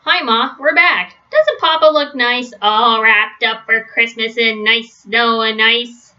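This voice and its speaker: Eager, well-mannered boy bear, woman reader, picture-book read-aloud; bright, high, slightly lo-fi. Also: takes it slowly. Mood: cheerful, grateful. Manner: keen, polite, questioning. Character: eager, polite young boy bear, gently cheeky. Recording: dull, lo-fi webcam sound.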